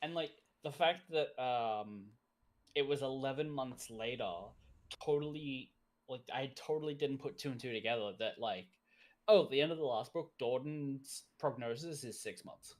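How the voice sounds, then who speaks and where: A man's voice: mid-range voice, normal speed. A man co-hosting a game stream, chatting casually, rambling and laughing often. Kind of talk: casual chat while gaming.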